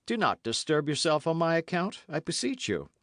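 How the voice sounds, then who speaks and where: A man's voice: on the high side, very animated, normal speed, a bit bright, dry recording, studio quality, voice pushed up. A man voicing a stern, devout young officer who speaks seriously and with measure. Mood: grave.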